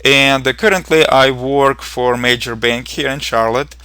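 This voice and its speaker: A man's voice: medium pitch, fairly even intonation, normal speed, sharp tone, clear, resonant tone, no echo. A young man from Ukraine with a Ukrainian accent, earnestly narrating a programming tutorial with frequent ums and uhs.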